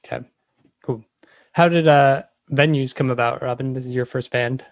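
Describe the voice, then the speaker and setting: A man's voice: medium pitch, normal speed, dry recording. A man hosting a podcast, asking questions in a casual interview style.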